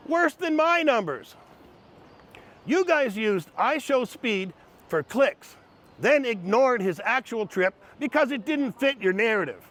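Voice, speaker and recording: High-pitched sarcastic male commentator. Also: a bit bright. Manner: sarcastic, snarky. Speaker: commentary host. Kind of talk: mocking commentary.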